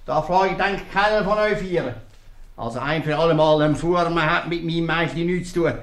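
Gruff, blustering innkeeper father, high-pitched. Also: normal speed, a bit bright. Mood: scornful, domineering. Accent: Swiss. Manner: blustering, curt. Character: gruff, stubborn innkeeper father, scornful and bossy. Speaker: actor. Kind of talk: 1950s radio comedy acting. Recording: roomy 1950s radio play recording.

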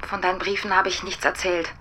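Radio drama role: composed young wife, bright, forward voice, measured and reproachful, faint noise behind. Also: female voice, on the low side, flat delivery, rough recording. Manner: measured, questioning. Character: married young woman, composed, firm, reproachful. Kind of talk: radio play acting.

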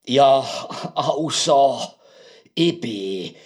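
A man playing a shocked museum caretaker who stammers haltingly in a children's radio play. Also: medium pitch, animated, a little mellow, slightly rough, heavily acted. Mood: dazed, shocked.

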